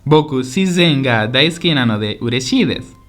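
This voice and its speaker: A man's voice: mid-range voice, expressive, moderate pace, fairly bright tone, natural voice. Man playing polite, eager Spanish tourist, music behind. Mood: interested, pleased. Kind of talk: scripted language-lesson radio drama.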